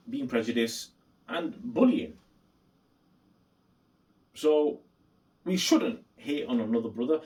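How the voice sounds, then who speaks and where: Male reaction host, mid-pitched, emphatic and deliberate, repeats points for stress, recorded at some distance from the mic. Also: darkish tone. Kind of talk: opinion commentary reacting to a clip.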